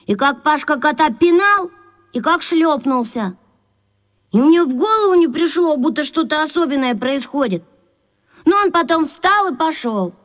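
A very high, childlike voice of a third-grade schoolboy. He is earnest and argumentative, insisting on his point as a character in a children's radio drama. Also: expressive, moderate pace. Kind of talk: children's radio play acting.

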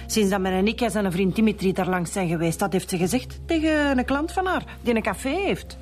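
A woman's voice: mid-range voice, animated, brisk, a little mellow, faint music behind, natural voice. Chatty Flemish mother and amateur snoop, played by an actress, mid-range voice, for radio crime drama. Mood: pleased, gossipy.

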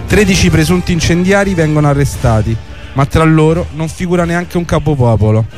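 A man's voice: a little high, lively intonation, fairly quick, darkish tone. A man hosting and narrating a scripted historical radio programme. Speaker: host and narrator of a radio series. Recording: music under the voice. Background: music.

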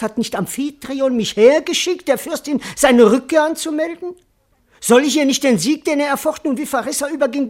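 An actor playing a cowardly, bewildered servant in a classical verse radio comedy, wordy, plaintive and comically indignant. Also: male voice, very high-pitched. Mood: indignant, baffled. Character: bewildered, cowardly male servant doubting his own identity.

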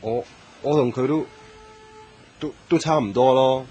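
A man's voice: medium pitch, measured pace, warm tone, soft background music, natural voice. A man acting as a bashful, nervous young man in a radio drama, hesitant and stammering, with a Hong Kong Cantonese accent.

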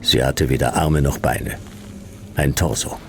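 A man playing a laconic private detective in a sci-fi radio play, narrating in clipped, deadpan with a gravelly voice. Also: a bass voice, measured pace, slight background noise, heavily acted. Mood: dry, laconic. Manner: clipped, dry, deadpan delivery. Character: Jonas, laconic hardboiled male private detective narrating his case.

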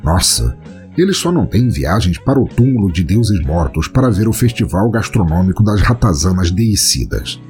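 A low-voiced Brazilian man delivers scripted audio-drama narration with a very animated, swooping pitch. His tone is dark and mellow, and his manner is theatrical and ornate. Faint music plays behind him. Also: normal speed. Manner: theatrical, florid wordplay. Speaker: podcast host and storyteller.

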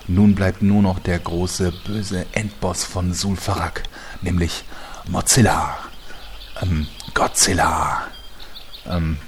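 A man's voice: low voice, slight background noise. A man playing a mock-epic narrator of a comic radio play, announcing the heroes' adventures with mock drama. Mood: mock-solemn.

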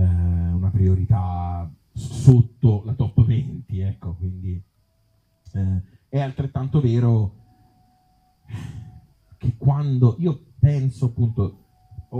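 A man with a Tuscan accent talking informally to a live audience, halting as he searches for words, with wry irony. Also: deep voice, warm tone. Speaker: author and actor, featured guest at a reading event. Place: outdoor evening festival in an olive grove. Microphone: small microphone. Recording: dull, muffled live sound.

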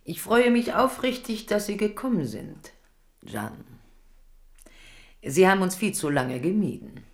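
An actress playing a shrewd middle-aged queen of France in a German radio drama, measured, coaxing and imperious. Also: medium pitch, sing-song. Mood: gracious, commanding.

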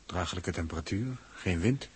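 A man's voice: low-pitched, normal speed, a little mellow, a little hiss or hum, natural voice. A man with a Netherlands Dutch accent acting a weary, wary space crewman in a 1960s science-fiction radio drama. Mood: weary, calm. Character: male space crewman Huub, weary, wary, plain-spoken.

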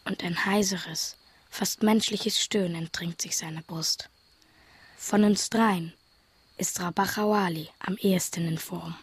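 An actress playing a melancholy, exhausted wanderer, breathy and half-whispered, as an inner monologue in a radio play. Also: female voice, fairly low pitch, fairly even intonation, a little slow, exaggerated voice. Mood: sorrowful.

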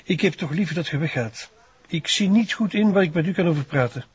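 An actor playing a cornered, defensive narcotics officer with a Flemish accent, terse and hesitant, in a radio drama. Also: male voice, a little high, lively intonation, fairly quick, slightly rough, no echo. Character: guarded, cornered narcotics police officer, reluctant informant. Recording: dull radio drama recording.

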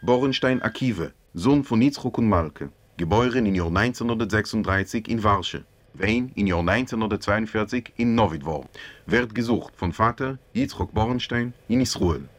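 A man's voice: on the low side, lively intonation, normal speed. A man reading missing-person notices as a formal announcer.

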